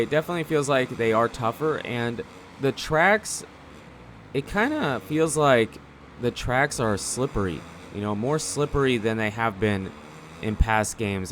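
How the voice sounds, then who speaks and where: A man's voice: medium pitch, fairly bright tone, a little hiss or hum. A man giving casual gameplay commentary in a rambling, conversational, slangy way. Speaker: gaming video commentator.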